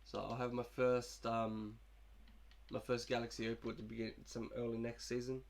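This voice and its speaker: A man's voice: medium pitch, little pitch movement, moderate pace. Sick young man chatting about his game. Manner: laid-back, rambling, fillers and restarts. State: sick, coughing. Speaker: gaming YouTuber. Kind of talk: casual gameplay commentary.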